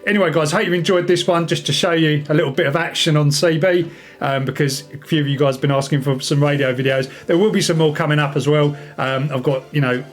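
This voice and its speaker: A man's voice: fairly high pitch, steady tone, fast, faint music behind. A man hosting a hobby video in an informal, rambling, enthusiastic way, chatting casually to his viewers. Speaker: video host, radio hobbyist. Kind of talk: casual chat to camera.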